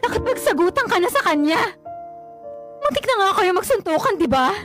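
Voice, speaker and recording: A woman's voice: extremely high pitch, sing-song, brisk, music in the background. An actress playing a young woman confronting her possessive ex, exasperated and pleading, in a radio drama. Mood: tearful.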